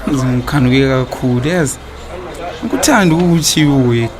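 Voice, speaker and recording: An actor playing a smooth-talking, evasive cheating husband in a radio drama, with a Zimbabwean accent and a stammer when cornered. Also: male voice, mid-range voice, animated, normal speed, music in the background, close to an everyday voice. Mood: boastful, wooing. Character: smooth-talking, cheating husband wooing his young lover, evasive debtor.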